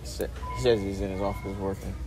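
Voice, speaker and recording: A man's voice: deep voice, little pitch movement, fairly quick, creaky, music in the background, poor audio. An American man talking casually, posing as a worker in a store. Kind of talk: casual spoken exchange. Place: inside a large store.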